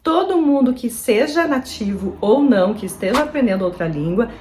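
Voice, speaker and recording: A woman's voice: on the high side, animated, moderate pace, a little mellow, a little hiss or hum. A young woman from Brazil chatting earnestly to her viewers in a casual advice vlog. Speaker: vlogger.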